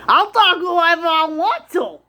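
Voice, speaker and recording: A woman's voice: very high voice, lively intonation, a little slow, pushed and bright, full character voice. A woman playing a chatty, easily distracted, argumentative grandmother on a prank phone call, drawing out her words for emphasis. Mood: defiant. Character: chatty, defiant, easily distracted grandmother, swooning over TV men.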